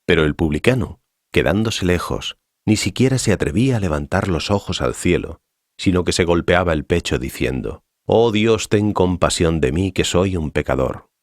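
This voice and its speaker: An actor playing a solemn, authoritative male teacher in a dramatized scripture reading, speaking in a measured, didactic way. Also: low voice, expressive, no echo, clean studio sound. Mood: solemn teaching.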